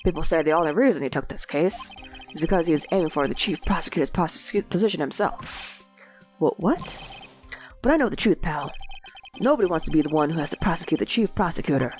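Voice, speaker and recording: Woman doing a goofy loyal cop guy, says pal a lot, lowish voice, swoopy, kinda stuffy from a cold. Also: lively intonation, fast talker, darkish tone, faint music behind. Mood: earnest. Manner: folksy, calls people pal. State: sick with a cold.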